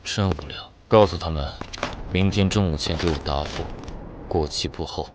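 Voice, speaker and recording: A man voicing a hardened, defiant, world-weary young ex-soldier in an animated comic drama, speaking in terse, unhurried, commanding lines. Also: deep voice, noisy background, natural voice. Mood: firm, demanding. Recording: music behind the voice. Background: dramatic music.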